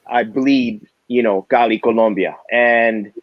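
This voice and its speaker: A man chats as a guest in a relaxed, candid way, dropping casual slang. His voice sits mid-pitch, and the recording is dry and a little dull. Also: slow, no room sound. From New York City. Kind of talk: casual interview chat.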